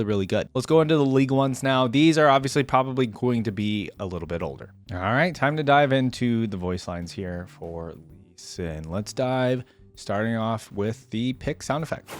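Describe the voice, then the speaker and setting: A man hosting a casual game reaction video, talking in an informal, slangy, effusive way. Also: mid-range voice. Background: music.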